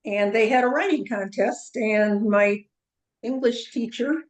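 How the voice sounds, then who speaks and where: An older woman who is a guest in an online interview, answering in a measured, somewhat hesitant way. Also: on the high side. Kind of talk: casual interview.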